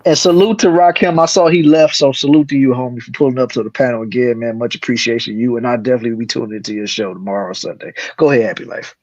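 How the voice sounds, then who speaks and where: A co-host of a live stream, chatting casually in a slangy way and giving shout-outs. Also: medium pitch, fairly even intonation, rapid-fire, few pauses. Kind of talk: casual panel chat. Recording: dull, lo-fi stream audio.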